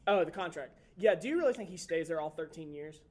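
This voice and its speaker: Young male co-host, higher-pitched, easygoing and chatty, leads with questions. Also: quick pace. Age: 20s. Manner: relaxed, chatty, asks questions. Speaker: podcast co-host. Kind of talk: casual sports chat.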